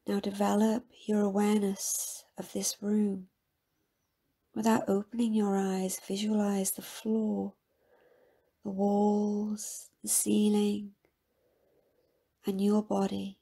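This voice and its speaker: A woman guiding a scripted meditation in a calm, measured, soft-spoken manner with a husky, breathy voice. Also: medium pitch. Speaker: meditation guide. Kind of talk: guided meditation, scripted soothing narration.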